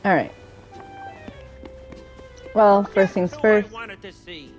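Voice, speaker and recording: A woman talks casually through a video game at an unhurried, slow pace, thinking aloud in a chatty way. Her pitch sits in an average range for a woman, and clear game music plays behind her. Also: a little mellow. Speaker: game streamer playing and commentating. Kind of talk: casual gameplay commentary.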